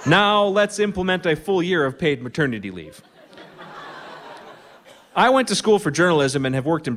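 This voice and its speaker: A man's voice: medium pitch, fairly bright tone, a little hiss or hum. A middle-aged man with a Minnesota accent, hosting a live radio show from a barn with a dry, wry comic monologue. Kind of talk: humorous monologue to a live audience.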